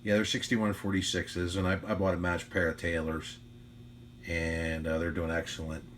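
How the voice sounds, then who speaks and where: A man with a low voice talks casually as a ham radio operator at home. He is chatty, unhurried and modest about himself, with a faint hum behind him. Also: completely flat delivery, a bit bright. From United States. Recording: faint hum and background noise.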